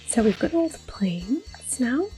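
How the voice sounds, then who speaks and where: A woman speaks in a hushed, breathy voice that often slips into a whisper, at a slow and gentle pace. She is hosting an ASMR video game playthrough in a soft-spoken style, with music quietly playing behind her. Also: fairly high pitch, very animated, low-quality recording. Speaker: gaming video host.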